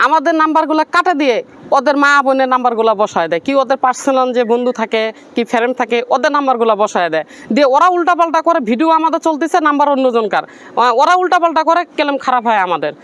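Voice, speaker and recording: A woman from Bangladesh, answering interview questions in a rapid, earnest, pleading way. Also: sits high, lively intonation, fairly bright tone.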